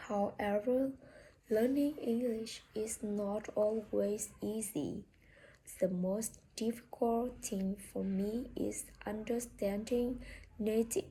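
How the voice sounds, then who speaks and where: A woman's voice: medium pitch, mellow, slight background noise, low-quality recording. A woman with a Vietnamese accent, a native Vietnamese speaker, giving a prepared monologue for a speaking exam, careful and recited, with a few restarts. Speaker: student taking a speaking exam.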